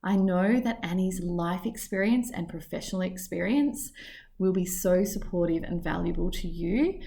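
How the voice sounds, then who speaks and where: Gravelly low-voiced woman podcast host. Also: normal speed, mellow. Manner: upbeat, enthusiastic delivery. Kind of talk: scripted podcast intro, then interview.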